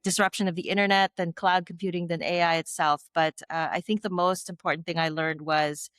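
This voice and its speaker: A middle-aged woman from the Philippines answers interview questions in an articulate, reflective way, now and then with a light laugh. Her voice sits low for a woman and sounds smooth and clear. It is recorded close and dry, with no room sound. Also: flat delivery, a little fast, a bit bright, dry recording. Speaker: guest, former tech executive.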